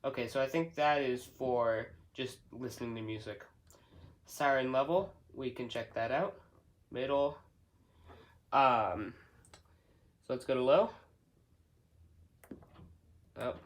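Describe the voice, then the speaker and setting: Slow-paced man talking through a gadget setup. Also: medium pitch. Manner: halting, thinking aloud step by step. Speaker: hobbyist product reviewer. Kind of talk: unscripted unboxing and setup walkthrough.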